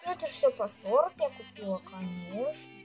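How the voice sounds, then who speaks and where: A woman's voice: fairly high pitch, big pitch swings, a little fast, darkish tone, soft background music. A woman video blogger chatting casually and ramblingly to herself and her viewers while showing things, chewing gum as she talks. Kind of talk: casual chatty nail polish show-and-tell.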